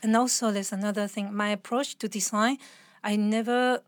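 A woman with a mixed international accent talking as an interview guest, reflective, earnest and expressive in a conversational style. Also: medium pitch, normal speed, no echo, clean studio sound.

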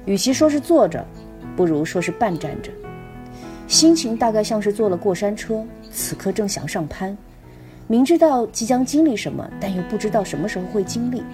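A woman reading as a nervous first-person narrator of a comic radio play, in a measured, subdued way. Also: medium pitch, big pitch swings, dark tone, close to an everyday voice. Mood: tense, subdued. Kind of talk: scripted narration. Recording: music clearly behind the voice. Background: music.

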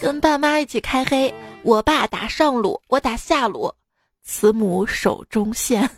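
A young woman hosts a radio joke segment in a playful, teasing voice, laughing at her own punchlines. Her voice sits a little high. A music bed runs clearly under her studio talk. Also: unhurried. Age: young adult. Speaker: radio joke show host. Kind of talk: reading jokes with laughs, casual radio talk. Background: background music.

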